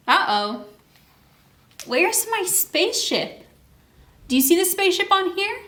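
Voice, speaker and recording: A woman voicing an excitable, oblivious little male astronaut in a children's storybook, exclaiming with wonder. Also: very high voice, unhurried, voice pushed up, exaggerated voice. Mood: puzzled. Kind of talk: children's picture-book character read aloud.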